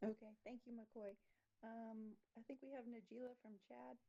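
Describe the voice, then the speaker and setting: A woman's voice: fairly high pitch, level tone, creaky, lo-fi sound, very noisy. A woman moderating an online meeting, calling on participants by name and moving things along. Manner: brisk, calls on participants by name.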